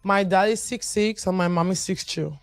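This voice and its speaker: Deep-voiced woman, blunt and dry. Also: fairly even intonation, normal speed, no room sound. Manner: blunt, frequent "you know what I mean". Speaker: interview guest. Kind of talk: casual interview chat.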